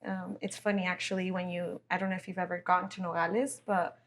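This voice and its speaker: A woman's voice: on the low side, flat delivery, a little fast, over a noisy crowd. A woman from Mexico, a guest on a podcast interview, talking casually with many fillers and the odd phrase in Spanish. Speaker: chamber executive director, guest.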